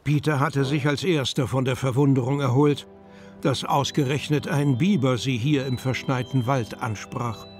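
A man's voice: mid-range voice, steady tone, normal speed, darkish tone. A man narrating a scripted German audio drama story. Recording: music under the voice.

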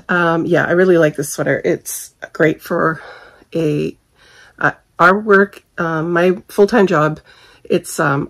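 Woman, 40s, hoarse and gravelly, unhurried and chatty, at-home haul vlogger talking to a camera at desk distance. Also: lowish voice. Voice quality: gravelly, husky. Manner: chatty, candid, frequent ums and little laughs. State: losing her voice. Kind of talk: casual product review chat. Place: bedroom at home.

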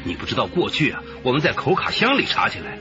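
Radio drama: steady senior detective, very expressive intonation, Mainland Mandarin accent, dull recording. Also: male voice, medium pitch, fast talker. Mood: calm, chiding. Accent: Mainland Chinese Mandarin. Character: seasoned, steady senior male police detective. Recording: muffled broadcast radio drama with music.

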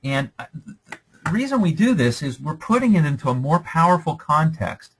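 Husky-voiced man giving a lecture. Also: a little high, sing-song, moderate pace, a bit bright. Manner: explanatory, conversational, with frequent "okay?" checks. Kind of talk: recorded slide lecture.

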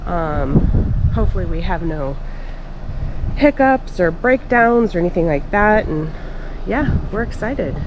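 A woman narrates her family travel vlog in an informal, upbeat way. She talks while filming in the open air on a handheld camera. There is clear outdoor noise behind her, with car engine sounds. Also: mid-range voice.

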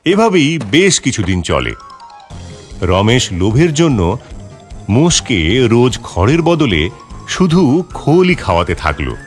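A man's voice: mid-range voice, very animated, slow pace, pauses often. A man narrating a scripted moral story for children. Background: background music.

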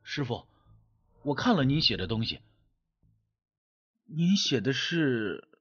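A male narrator acts out an anxious apprentice in an audio ghost story. The voice is husky. He speaks deferentially and hesitantly, as a respectful pupil does to his master. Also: medium pitch, sing-song, slow pace, fairly bright tone, dull audio, full character voice. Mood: hesitant, probing.

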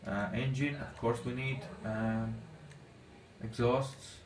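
Armenian male gaming host, mid-pitched, halting speech, faint hiss behind him. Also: steady tone. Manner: halting, non-native English with many fillers. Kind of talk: casual gameplay commentary. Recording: echoey room, distant mic, faint noise.

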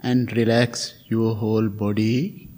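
Guy doing guided meditation, really slow n mellow, gentle soothing short phrases. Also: on the low side, level tone. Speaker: meditation teacher, Buddhist monk.

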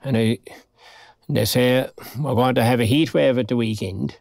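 Actor as gravelly older Cork man, mild. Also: mid-range voice, steady tone, normal speed, a little mellow, dry recording, studio quality, close to an everyday voice. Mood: mild, matter-of-fact. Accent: Irish, County Cork. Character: retired older Irish man, mild, dignified, unassuming. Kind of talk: naturalistic audio drama acting.

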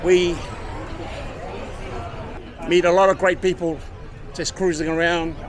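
A man's voice: high voice, little pitch movement, slow pace. A middle-aged man casually vlogging while walking a busy evening street, talking to a handheld camera. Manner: laid-back, chatty, upbeat street talk. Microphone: handheld camera at arm's length. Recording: street crowd and traffic noise.

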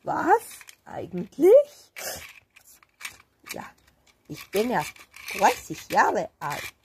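A woman's voice: mid-range voice, huge pitch swings, very slow, vocal fry, dark tone, a little hiss or hum, full character voice. A woman voicing a vain, boastful talking toy car in a toy-play story. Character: vain talking golden toy car, thirty years old.

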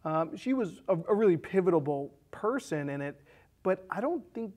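A man in his thirties casually discussing a film, halting and repeating words as he thinks aloud. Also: high voice, expressive, a little mellow. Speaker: film discussion show co-host. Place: small indoor studio set with curtain.

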